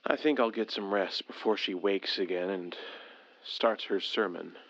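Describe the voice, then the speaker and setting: A man's voice: sits low, a little slow, fairly bright tone, muffled recording. A man playing an anxious, frustrated survivor of an alien invasion, formal and earnest, in an audio drama. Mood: weary, brooding. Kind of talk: dramatic audio drama acting with journal narration.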